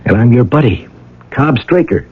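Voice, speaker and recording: A tough, doubting buddy with a husky voice. He talks in slangy wisecracks with animated pitch. It has the dull sound of a vintage radio drama. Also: normal speed. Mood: gruffly teasing. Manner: wisecracking, colloquial tough-guy talk. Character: tough, skeptical, slangy male buddy of a diver.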